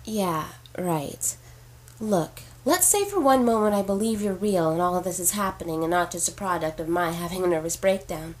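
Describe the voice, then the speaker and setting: A woman's voice: on the low side, lively intonation, moderate pace. A woman playing a stressed, skeptical heir in an audio drama, incredulous and defiant in manner. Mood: skeptical, sarcastic. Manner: incredulous, combative, sarcastic.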